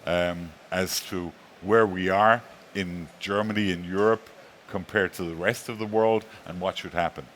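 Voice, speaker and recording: A man's voice: low-pitched, steady tone, unhurried, a bit bright, slight background noise. A man moderating an industry panel, putting questions to the guests. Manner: asking questions.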